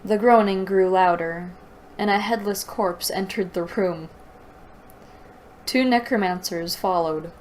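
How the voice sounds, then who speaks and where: A woman's voice: medium pitch, measured pace, long pauses, a little hiss or hum, low-quality recording. A woman reading a story aloud and breaking in with sarcastic, exasperated commentary. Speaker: host reading and critiquing a fantasy novel aloud.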